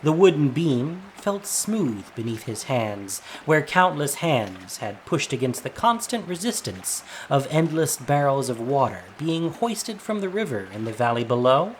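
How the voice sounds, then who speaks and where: A man's voice: medium pitch, very animated, unhurried, hardly any pauses, slight background noise, low-quality recording. A man narrating a scripted story as a dramatic storyteller.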